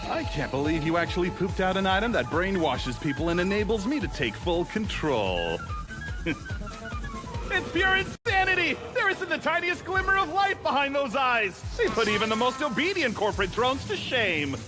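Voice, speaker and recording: An actor playing a greedy, gloating young boss in an animated comedy dub, theatrical, swinging between sly muttering and bellowing. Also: male voice, high voice, moderate pace, over music. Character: scheming young male boss, manic, greedy, gloating megalomaniac.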